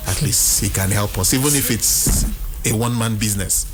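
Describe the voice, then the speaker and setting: A man's voice: fairly low pitch, moderate pace. A man in his forties, an interview guest telling stories conversationally, often acting out quoted dialogue. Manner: animated retelling with quoted dialogue. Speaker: business executive, interview guest.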